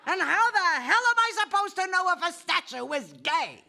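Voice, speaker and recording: Bright high voice, lively and sarcastic, exasperated banker lady, as an actress plays her. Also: moderate pace, creaky, heavily acted. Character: sharp-tongued New York woman banker, exasperated. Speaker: actor in a live audio play. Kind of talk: live stage audio drama.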